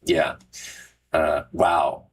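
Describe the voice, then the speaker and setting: A man's voice: a bass voice, little pitch movement, very slow, a little hiss or hum. A middle-aged man hosting a casual talk show, who rambles, restarts often and laughs at himself. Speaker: podcast host and Renaissance fair performer. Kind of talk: casual solo chat with live listeners.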